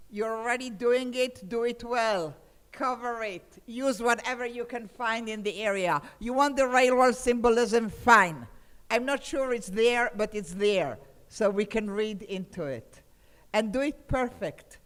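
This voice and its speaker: Older Hungarian-accented woman lecturing. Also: on the high side. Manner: expressive storyteller, often checks in with listeners. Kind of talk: lecture on poetry to a seminar audience. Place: lecture hall with a seated audience. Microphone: table microphone on a stand.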